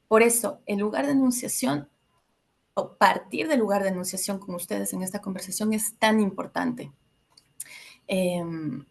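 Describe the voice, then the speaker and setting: A woman from Ecuador in her thirties addresses a group in a reflective workshop talk. Her mid-pitched voice has a gravelly, fry-tinged texture. She often pauses with small fillers as she searches for words. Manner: reflective, many filler hesitations. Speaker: workshop facilitator.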